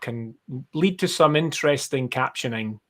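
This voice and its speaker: A man's voice: medium pitch, moderate pace. A man with a Scottish accent giving explanations in a casual, rambling, conversational interview style. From Scotland. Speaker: guest, brand strategist and designer. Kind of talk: casual live interview chat.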